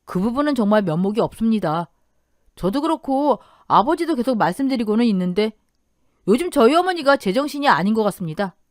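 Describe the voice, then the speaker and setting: A polite young son-in-law in a radio drama, voiced by a woman. The speech is earnest and deferential, with lively intonation in a dry close recording. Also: fairly high pitch, fairly quick, no echo. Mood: apologetic.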